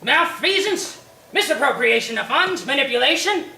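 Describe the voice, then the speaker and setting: A woman voicing a cold, gloating rich old man who mocks slowly and drags out his words. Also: high voice, animated, creaky, pushed and bright, roomy sound, slight background noise, voice pushed up, heavily acted, rough recording. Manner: slow, mocking, drawn-out delivery.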